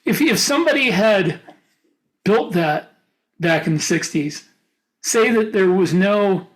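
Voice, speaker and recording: A man co-hosting a casual podcast discussion, talking in a halting way as he thinks aloud. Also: sits high, lively intonation, low-quality recording. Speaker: podcast co-host and author.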